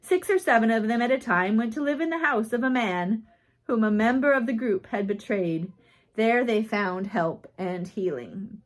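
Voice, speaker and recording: A woman narrates a written biography aloud. Her voice sits a little higher than most women's. She reads in measured phrases, in the style of an audiobook. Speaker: audiobook reader.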